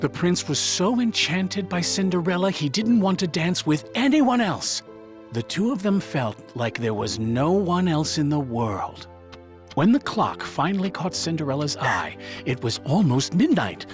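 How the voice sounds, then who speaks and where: A man narrating a scripted children's fairy tale in an expressive, dramatic storytelling manner. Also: on the high side, unhurried. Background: music.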